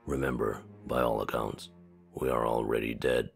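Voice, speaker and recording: A man's voice: very deep voice, fairly even intonation, normal speed, vocal fry, soft background music, pitched below the performer's own voice. A man voicing a grave, weary fantasy warrior with solemn, terse delivery, reading a visual novel aloud. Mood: grim, resigned. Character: grave, weary, very deep-voiced fantasy soldier.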